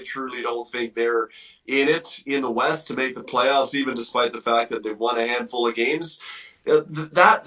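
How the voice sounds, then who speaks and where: A man's voice: on the high side, sharp tone, recorded from a distance, rough recording. A man giving opinionated hockey analysis as a guest on a sports radio interview, conversational and emphatic, thinking aloud as he goes.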